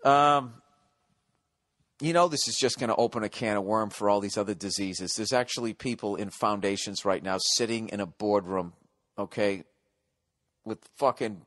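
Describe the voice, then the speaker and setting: A middle-aged American man hosting a podcast, ranting sarcastically with lots of swearing, going loud then quiet. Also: on the low side, no room sound. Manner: profane, sarcastic ranting, swings loud and soft. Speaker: podcast host. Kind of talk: ranting solo podcast monologue.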